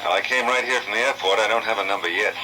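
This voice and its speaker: An American actor playing a terse, world-weary insurance investigator in a 1950s radio drama, laconic and unruffled. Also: male voice, fairly low pitch, fast, pushed and bright, a little hiss or hum, low-quality recording. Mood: businesslike. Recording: old 1950s radio recording, music behind.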